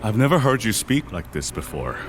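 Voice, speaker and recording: An actor playing a grave, measured older business magnate father in an audio drama, speaking with reserved weight. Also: on the low side, big pitch swings, soft background music.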